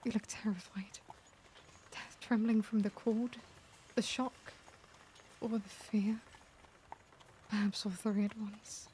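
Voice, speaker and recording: A woman acting as an anguished, caring vampire lady in an ASMR roleplay, speaking formally and tenderly in hesitant, breathy half-whispers. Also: mid-range voice, little pitch movement, takes it slowly, pauses often, mellow, a little hiss or hum, natural voice. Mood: apologetic, tender. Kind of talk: ASMR roleplay, intimate dramatic monologue.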